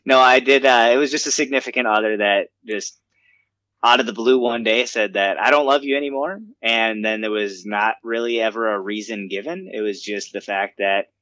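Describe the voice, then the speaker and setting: A man with a fairly low voice talks through his thoughts on a casual podcast, trailing off with fillers and poking fun at himself. The sound is dry and close, with no room around it. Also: fairly even intonation, normal speed, pushed and bright, no echo. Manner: self-deprecating, rambling with fillers. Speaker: podcast co-host. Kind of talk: casual chat.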